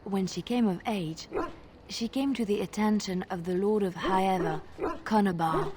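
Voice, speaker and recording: An actress playing a traveling minstrel in a fantasy game, telling tales in a measured, suspenseful and lyrical way. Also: female voice, medium pitch, background noise, natural voice. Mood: wistful. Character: female traveling minstrel, soft-spoken, wistful storyteller. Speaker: game companion character. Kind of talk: dramatic storytelling in a fantasy game.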